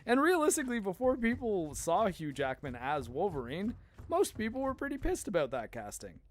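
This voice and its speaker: A hoarse man chatting as he streams a game, his talk rambling and loose with frequent laughs. His voice sits a bit high for a man, and faint noise is heard behind him. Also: wildly swooping pitch, moderate pace. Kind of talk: casual gaming commentary.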